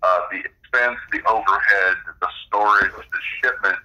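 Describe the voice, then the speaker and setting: A man's voice: fairly low pitch, fairly even intonation, normal speed, sharp tone, low-quality recording. A middle-aged man explaining his own creative work as a guest in a casual interview. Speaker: interview guest, game designer and author.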